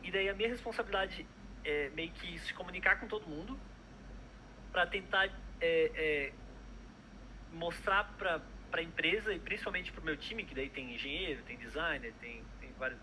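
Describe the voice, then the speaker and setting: A man's voice: fairly high pitch, normal speed, sharp tone, a little hiss or hum, poor audio. A young man, a product manager chatting casually in an interview, hesitant with many fillers and restarts.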